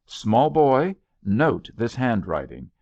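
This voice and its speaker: Male reader as a self-assured young gentleman architect, mock-pompous and brisk. Animated, creaky delivery, slightly muffled audiobook sound. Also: medium pitch, no echo, full character voice. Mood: whimsical, brisk.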